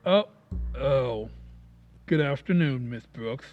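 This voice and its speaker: A man playing a pompous, self-important school principal in old-time radio comedy style, with formal, deliberate diction. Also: medium pitch, animated, muffled recording, faint music behind. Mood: pompous, pleased.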